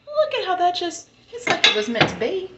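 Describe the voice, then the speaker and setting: A woman's voice: extremely high pitch, wildly swooping pitch, brisk, roomy sound, very noisy. A woman with a Southern American accent, chatting casually and thinking aloud as she cooks in her home kitchen. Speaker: home cook. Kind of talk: casual cooking vlog chat.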